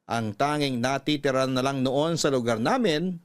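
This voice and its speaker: A man narrating as a radio host, reading a personal letter aloud as a story in a measured, sincere manner. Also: medium pitch, clean tone. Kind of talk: dramatic reading of a listener's letter.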